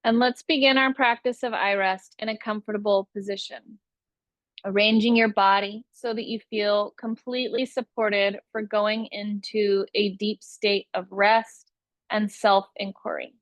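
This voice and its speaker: A woman teaching a guided yoga nidra meditation, giving soothing, unhurried instructions with long pauses between phrases. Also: medium pitch, fairly even intonation, no room sound, low-quality recording.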